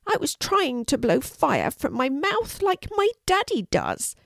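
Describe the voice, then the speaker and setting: A woman's voice: high-pitched, sing-song, unhurried, vocal fry, a little mellow, no echo, studio quality, voice pushed up, heavily acted. A woman voicing a tiny, timid five-year-old boy dragon in a children's storybook, childlike, earnest and friendly. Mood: sad, earnest.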